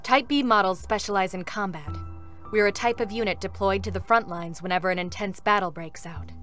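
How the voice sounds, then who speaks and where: A woman playing a stoic, formal female combat android who hides deep anguish, in a dramatic game reading. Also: a little fast, a bit bright, soft background music, close to an everyday voice. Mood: composed, resolute. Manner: solemn, controlled, formal.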